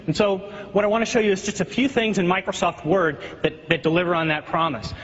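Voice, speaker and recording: A man's voice: high voice, fairly even intonation, quick pace, fairly bright tone, slightly rough. Man presenting on a reverberant stage. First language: not French. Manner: explanatory, with frequent restarts and fillers. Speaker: software product presenter. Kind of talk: live keynote product demo. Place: large auditorium stage before an audience. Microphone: stage mic, heard through the hall. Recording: dull lo-fi recording with long hall reverb.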